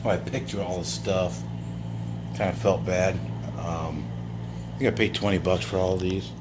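A man's voice: low voice, fairly even intonation, moderate pace, very noisy. A man casually showing off his toy car finds in a rambling vlog, chuckling often and using many fillers, filmed on a handheld phone. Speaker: toy car collector vlogger. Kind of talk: casual show-and-tell vlog. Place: indoors, filming toys laid on a bedsheet. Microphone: handheld phone camera.

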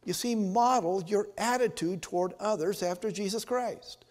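A man in his sixties with an American accent, preaching and telling stories as a pastor, earnest and given to emphatic pauses, in a church. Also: sits high, a little mellow. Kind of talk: sermon with storytelling. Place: church sanctuary, at the altar. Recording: reverberant church hall.

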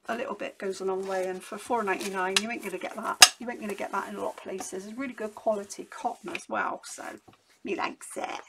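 Hoarse, chatty woman. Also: medium pitch, noisy background. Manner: rambling and chatty, many restarts. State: losing her voice, hoarse. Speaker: crafting vlogger. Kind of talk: casual haul chat. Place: home craft desk, indoors.

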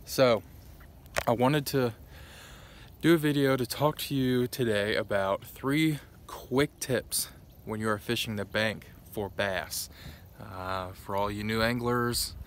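A man's voice: on the low side, a bit bright, a little hiss or hum. Chatty young man outdoors. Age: young adult. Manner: chatty, upbeat, talks straight to camera. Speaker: fishing vlogger. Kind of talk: casual outdoor tips vlog. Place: outdoors on a lakeshore at dawn. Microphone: phone at arm's length.

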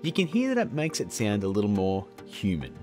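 A man's voice: lowish voice, huge pitch swings, a little fast, a little mellow. A man in his thirties hosting a video tutorial in a casual, jokey style. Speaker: host of a video tutorial show. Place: small indoor studio room. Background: faint music.

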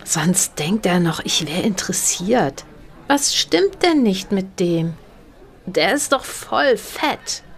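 A woman reader acts out a young woman on the hunt for love in an audio short story. She is choosy, excitable and quick to judge, with a mid-pitched voice. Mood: dismissive.

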